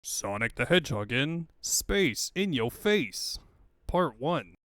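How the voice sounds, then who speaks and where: A man's voice: on the high side, very animated, slow, a bit bright, dry recording, clean studio sound. A man giving scripted narration for a comic book fan dub. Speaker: narrator and voice performer.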